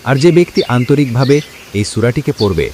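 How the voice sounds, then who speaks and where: A man playing a kindly village imam in a cartoon, teaching children in a gentle, encouraging, didactic way over noisy ambience. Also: medium pitch, lively intonation, quick pace, close to an everyday voice. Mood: earnest, instructive. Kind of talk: scripted cartoon dialogue and Quran recitation.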